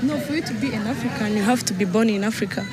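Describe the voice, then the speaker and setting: A woman's voice: on the high side, fast, a loud crowd, very noisy. A woman, firmly arguing her view in a casual street debate. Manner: firm, argumentative. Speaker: street interviewee.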